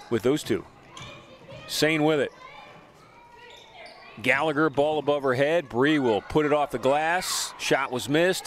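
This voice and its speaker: A man giving brisk, informative play-by-play commentary on a college basketball game. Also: on the high side, background noise. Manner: brisk, informative, names players quickly. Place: college gym broadcast booth.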